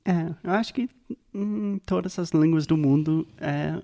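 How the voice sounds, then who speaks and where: A man co-hosting a language podcast, a learner himself, who asks measured questions and slows down on key phrases. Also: fairly high pitch, gravelly, warm tone. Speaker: podcast co-host and Portuguese learner. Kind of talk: casual teaching chat.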